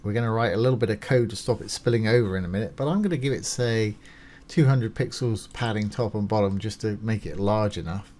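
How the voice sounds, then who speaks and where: A man's voice: fairly low pitch, brisk, darkish tone. A man presenting a casual step-by-step screencast tutorial, informal and reassuring as he explains each step while doing it. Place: voice-over of a screen recording.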